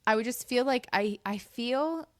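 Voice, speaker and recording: A woman's voice: on the high side, unhurried, no room sound, clean studio sound. A woman co-hosting a casual podcast, thoughtful and questioning, often restarting her sentences. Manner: reflective, questioning, hesitant restarts. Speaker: podcast co-host. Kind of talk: casual chat.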